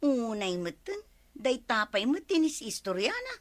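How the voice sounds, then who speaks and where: A woman acting a nosy, excitable village gossip in an Ilocano radio comedy drama, exclamatory, whiny and melodramatic. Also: a little high, a little slow. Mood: wheedling chatter.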